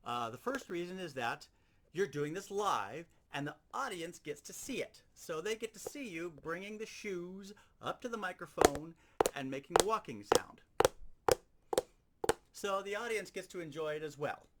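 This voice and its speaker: A man with a fairly high voice that swings widely in pitch, giving a hands-on lesson. He explains each step methodically and circles back to key points. Clear background noise runs through the recording. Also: animated, moderate pace. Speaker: sound effects instructor. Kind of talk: instructional demonstration.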